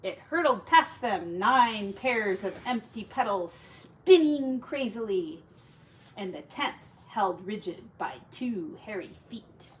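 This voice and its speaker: A woman's voice: medium pitch, expressive, slow, a little hiss or hum, poor audio. A woman who teaches, reading a picture book aloud to children expressively, drawing out key words for drama and adding casual asides.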